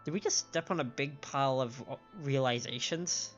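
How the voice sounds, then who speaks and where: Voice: mid-range voice, normal speed. A game streamer chats casually and analytically during play. The delivery is explanatory and conversational. The mic sounds a little lo-fi, with music faintly underneath. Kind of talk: casual live game commentary.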